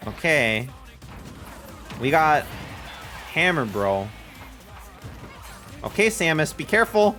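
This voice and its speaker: A young man hosting a game stream, giving lively, excitable play-by-play and laughing often with his co-host. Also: fairly high pitch, takes it slowly, pauses often, soft background music. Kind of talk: casual game commentary.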